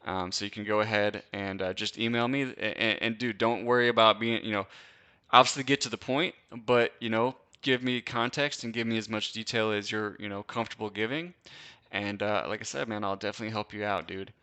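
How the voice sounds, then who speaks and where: Bright, crisp-voiced laid-back guy. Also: fairly low pitch, fairly even intonation, a little fast. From Wisconsin, USA. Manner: laid-back slangy chat, lots of "dude" and "bro", frequent laughs. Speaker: host. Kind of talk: casual live-stream Q&A.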